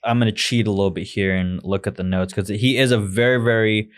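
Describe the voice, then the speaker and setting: A man's voice: lowish voice, fairly even intonation, fairly quick. A man in his twenties from Malaysia, a guest co-host chatting casually and giving updates on a podcast. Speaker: pharmacy fellow, guest co-host. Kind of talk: casual podcast chat with announcements.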